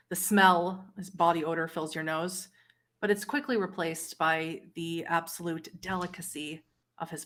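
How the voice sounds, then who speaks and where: A woman running a tabletop horror roleplay as game master, narrating scenes in a descriptive, pausing storyteller way. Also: lowish voice, fairly bright tone.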